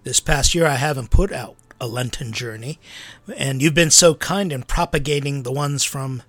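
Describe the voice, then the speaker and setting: A man with a slightly husky voice hosts a church talk show in a relaxed, conversational way. His first language is Armenian, and he grew up in the United States. He keeps checking in with the listener through quick rhetorical questions. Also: mid-range voice, no room sound. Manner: conversational, often adds rhetorical tag questions. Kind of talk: casual religious talk.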